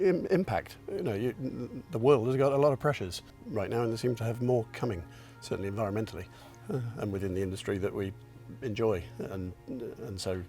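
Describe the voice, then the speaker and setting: Reflective low-voiced man in his sixties. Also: a little mellow. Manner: reflective, modest, halting with restarts. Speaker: company founder and chairman. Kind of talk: interview answers.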